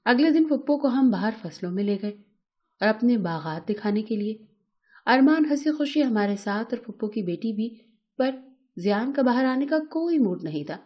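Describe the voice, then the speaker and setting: A woman narrating a scripted first-person emotional story in a measured, dramatic storytelling manner. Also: a little high, expressive, lo-fi sound.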